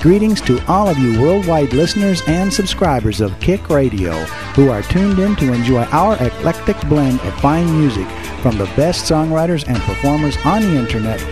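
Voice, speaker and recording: A man hosts a radio show in a soft, easy radio voice. He talks with playful, self-mocking banter. Loud music plays behind him. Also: fairly high pitch, very animated, normal speed, hardly any pauses. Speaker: radio host. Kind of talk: casual radio talk.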